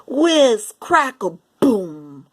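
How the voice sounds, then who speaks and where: A woman reading a picture book aloud for young children, animated and deliberate, acting out the lines as she goes. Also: on the high side. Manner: animated, deliberate, acts out lines and sound effects. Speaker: children's story reader.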